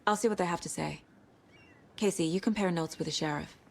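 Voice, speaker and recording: A calm female federal agent in a video game drama. Her voice sits on the low side, measured and courteous, probing without fuss. Faint ambient noise runs underneath. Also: gravelly. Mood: calm, businesslike. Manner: calm, polite, businesslike. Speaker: FBI special agent investigating a case. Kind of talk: game cutscene drama acting. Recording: noisy game scene ambience.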